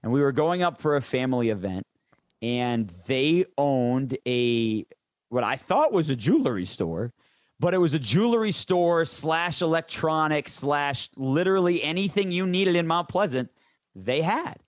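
A man's voice: fairly high pitch, expressive, measured pace, dry recording, clean studio sound. An American man from the Detroit suburbs, a sports announcer telling stories casually in a joking, self-deprecating way. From suburban Detroit, Michigan, United States. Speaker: sports play-by-play announcer, guest. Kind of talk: casual storytelling chat.

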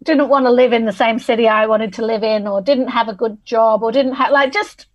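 Interview guest: woman, 40s, Manchester accent, candid and reflective, laughs easily, fairly high voice. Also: fairly even intonation, brisk, highs cut off. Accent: Mancunian English. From England. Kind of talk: conversational interview.

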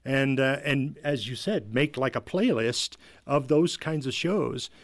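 A man's voice: mid-range voice, unhurried, no echo, clean studio sound. A man giving conversational interview answers as a guest, earnest and explanatory. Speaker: guest, audio drama team member.

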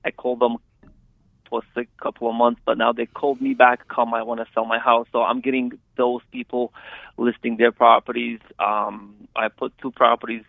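A man's voice: mid-range voice, level tone, normal speed, creaky, no echo. A man from Poland with a Polish accent, calling in to a coaching show and talking casually with fillers and restarts. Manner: hesitant, lots of fillers and restarts. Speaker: caller, real estate agent. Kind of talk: casual phone call. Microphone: phone. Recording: tinny phone line.

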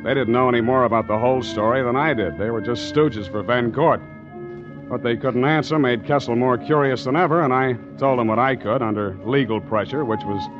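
A man's voice: medium pitch, natural voice. Man playing a hardboiled radio sea captain, muffled vintage sound. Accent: American. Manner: clipped, wry, hardboiled delivery. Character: tough, wry sea captain narrating his own adventures. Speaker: lead actor and narrator of a radio adventure serial. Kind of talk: 1940s radio adventure acting, hardboiled narration. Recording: muffled 1940s radio recording with music.

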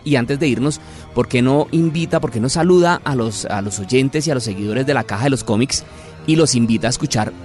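A man hosting a conversational podcast interview, chatty and politely formal in his address. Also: mid-range voice.

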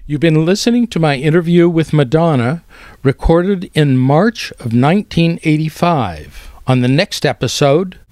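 Man, podcast host, scripted outro narration, mid-range pitch, very dry close-miked recording. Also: a little mellow, no echo.

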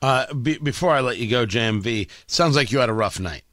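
A man's voice: mid-range voice, expressive, a little fast, a bit bright, dry recording, studio quality. A man hosting a sports radio show, bantering casually with his guest. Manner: bantering, conversational. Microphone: studio mic.

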